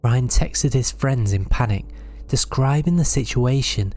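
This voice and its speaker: A man narrating scripted horror stories in a measured, dramatic storytelling manner. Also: mid-range voice, darkish tone.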